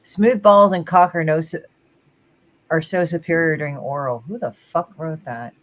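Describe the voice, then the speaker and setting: A man's voice: on the high side, normal speed. A man in casual stream banter who swears freely. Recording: dull lo-fi mic.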